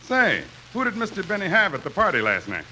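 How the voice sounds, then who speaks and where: A man's voice: high voice, huge pitch swings, fairly quick, sharp tone, full character voice. An actor playing a helpful, curious friend, an easygoing straight man in 1950s radio comedy. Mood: friendly, curious. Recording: noisy vintage radio recording.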